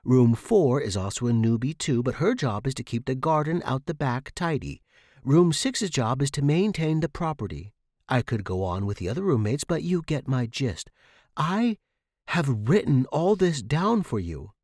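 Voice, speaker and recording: A man voicing a stern, bossy landlady in audio fiction, giving brisk, clipped instructions. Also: medium pitch, big pitch swings, a little mellow, no echo, studio quality, voice pushed up. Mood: stern, exasperated. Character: stern, bossy woman landlady with strict house rules. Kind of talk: audio fiction character reading.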